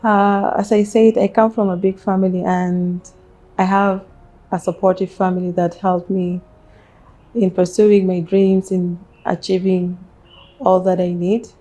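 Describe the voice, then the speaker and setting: A woman with a South Sudanese accent answering interview questions about herself in measured, halting phrases. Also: medium pitch, little pitch movement, dark tone, poor audio. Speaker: interview guest, businesswoman. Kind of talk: interview, personal life story.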